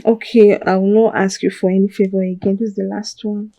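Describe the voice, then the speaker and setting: An actress playing a wife gently coaxing her husband into favors. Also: mid-range voice, little pitch movement, fairly quick, gravelly, no room sound.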